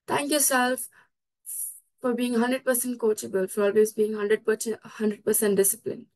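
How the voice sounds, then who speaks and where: A woman's voice: on the high side, flat delivery, moderate pace, a little mellow, poor audio. A woman leads a guided meditation and exercise routine, giving instructions in a small room at home. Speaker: session leader of a meditation routine.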